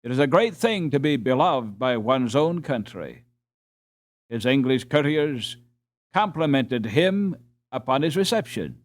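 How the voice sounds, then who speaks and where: Expressive man narrating with lively, swooping intonation. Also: medium pitch, measured pace, no echo. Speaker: audiobook narrator. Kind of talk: scripted storybook narration.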